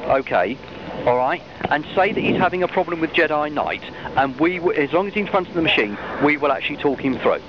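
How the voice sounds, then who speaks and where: A man's voice: expressive, fairly quick. A man working as a customer support agent on a helpline call, stammering and repeating himself as he earnestly reassures the caller. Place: open-plan office, on the phone. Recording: muffled, noisy low-quality phone recording.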